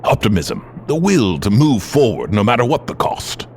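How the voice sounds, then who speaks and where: An actor playing an aged, grave mutant leader in an audio drama, speaking in measured, formal, commanding phrases. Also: male voice, on the low side, sing-song, a little mellow, slight background noise. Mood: resolute.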